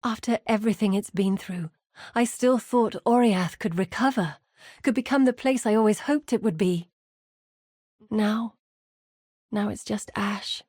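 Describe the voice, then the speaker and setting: A woman's voice: mid-range voice, unhurried, a little mellow, husky, no echo. A weary, earnest woman explorer from a fantasy video game. Her voice sits in a medium register and her words are carefully articulated, with a grave storyteller's weight. The recording is very dry, with no room around her. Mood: grieving, weary. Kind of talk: scripted video game voice acting.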